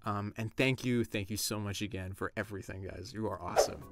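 A man's voice: on the low side, fairly even intonation, brisk, creaky, fairly bright tone, no echo, faint music behind. A man in his thirties talking casually as a guest, recorded up close in a small padded space. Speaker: guest voice actor. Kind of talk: casual thank-you message.

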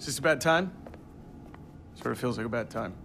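A man's voice: mid-range voice, expressive, brisk, noisy background, close to an everyday voice. A man playing a smug, menacing tech executive in a video game, talking in a condescending, faux-friendly drawl with casual swearing. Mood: smug, toying. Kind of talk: naturalistic game cutscene acting.

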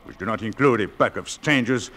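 An actor playing the gruff, commanding ghost of a crusty sea captain in a theatrical radio play. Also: male voice, lowish voice, brisk, a bit bright. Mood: blustering. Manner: commanding, crusty, nautical turns of phrase. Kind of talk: theatrical radio drama acting.

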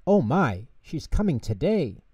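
Calm storybook bear performed by a male reader, reassuring, soft-edged mellow tone, leisurely gentle delivery. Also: fairly high pitch, husky, no echo, far from the mic, voice pushed up, exaggerated voice. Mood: kindly, reassuring. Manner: gentle, unhurried, soothing.

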